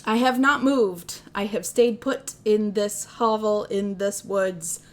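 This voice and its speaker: A woman's voice: medium pitch, fairly even intonation, slow pace. Young midwestern woman streaming, rambly, jokes at her own expense, laughs a lot. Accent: Midwestern American. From American Midwest. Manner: rambling, self-deprecating, laughs often. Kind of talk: casual livestream chat.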